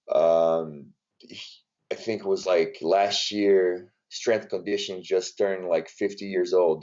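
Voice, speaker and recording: A man's voice: low voice, mellow. A man from Brazil with a Brazilian accent, speaking non-native English in a casual interview and rambling with many fillers as a strength coach. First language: Portuguese. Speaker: NBA strength and conditioning coach. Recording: dull lo-fi audio.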